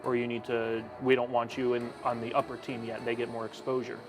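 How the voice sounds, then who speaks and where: A man's voice: mid-range voice, completely flat delivery, fairly quick, over music, poor audio. A young man who broadcasts hockey, chatting while gaming and then calling the action play-by-play.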